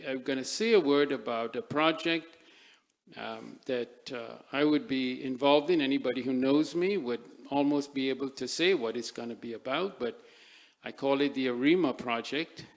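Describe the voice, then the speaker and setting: An older man from Trinidad giving a reflective conference talk, pausing often on fillers and restarting his sentences. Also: medium pitch. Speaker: panel speaker. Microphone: microphone at a live event.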